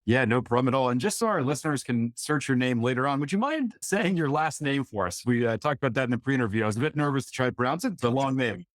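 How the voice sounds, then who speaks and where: A man's voice: mid-range voice, fast, hardly any pauses, no echo, studio quality. A man hosting a podcast, reading an upbeat intro and then asking interview questions. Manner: upbeat, lively delivery. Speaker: podcast host.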